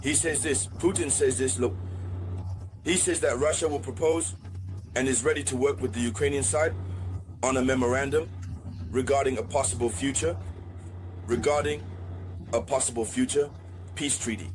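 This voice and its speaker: Deliberate male online news analyst. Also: mid-range voice, steady tone, background noise. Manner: deliberate, repeats and rephrases key phrases. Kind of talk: political news analysis addressed to viewers.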